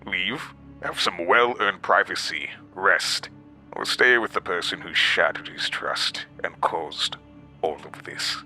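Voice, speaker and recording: An actor playing a sadistic, gloating villain in a horror audio drama, slow, condescending and mock-gentle with menace. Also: male voice, sits low, expressive, faint music behind, full character voice. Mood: cold, controlling. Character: sadistic, gloating male villain, coldly amused and controlling.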